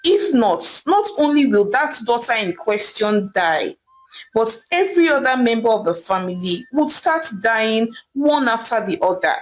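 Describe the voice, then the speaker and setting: A weary, secretive mother in a folktale, played by a woman narrator. She explains gravely and with resignation, in a fairly high voice with expressive rises and falls. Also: unhurried, low-quality recording. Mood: confessing, apologetic.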